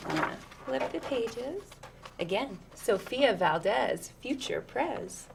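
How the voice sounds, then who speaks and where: Female storyteller for children's read-aloud, slightly high register, very slow delivery, expressive and conversational with the listener. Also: vocal fry, noisy background. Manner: animated, engaging listeners with questions and asides. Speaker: storyteller reading a picture book to children.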